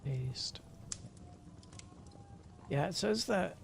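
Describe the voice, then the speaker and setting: A man's voice: sits high, expressive, very slow, vocal fry, slight background noise. A man streaming casually, muttering to himself and often dropping to a half-whisper as he works through settings. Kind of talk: casual livestream chat while troubleshooting settings. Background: music.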